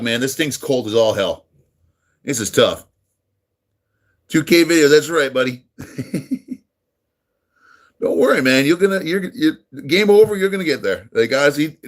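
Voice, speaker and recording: Laid-back middle-aged American streamer. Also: male voice, fairly high pitch, a bit bright. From United States, near New York. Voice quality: full radio-style voice. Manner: laid-back, friendly, rambling with many ums and uhs. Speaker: live streamer. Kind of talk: casual live-stream chat, reading and answering viewers.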